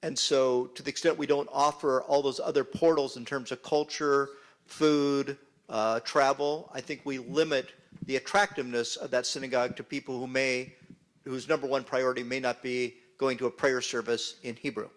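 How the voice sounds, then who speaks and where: Male moderator, community panel, measured opinion. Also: medium pitch, fairly even intonation. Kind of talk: panel discussion.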